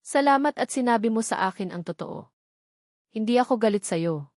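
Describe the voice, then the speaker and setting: Woman acting a soft, hurt, forgiving wife. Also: a little high, lively intonation, moderate pace, no echo, studio quality, natural voice. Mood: tearful, forgiving. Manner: soft, emotional.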